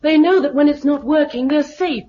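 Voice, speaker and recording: A woman's voice: high voice, moderate pace, mellow, close to an everyday voice. An actress playing an eager, stubborn fourteen-year-old British girl in 1950s radio drama style. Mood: insistent. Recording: muffled 1950s radio recording.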